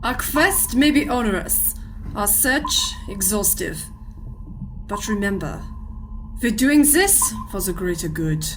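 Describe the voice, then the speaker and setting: A woman's voice: medium pitch, animated, takes it slowly, noisy background. A woman playing a pompous, befuddled, easily panicked bearded submarine captain in a radio play, grandiose and flustered in manner. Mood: pompous, solemn.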